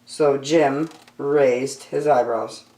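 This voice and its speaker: Female children's storyteller, unhurried and very expressive, slightly low pitch, roomy recording. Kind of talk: expressive picture-book read-aloud. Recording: roomy, echoey sound.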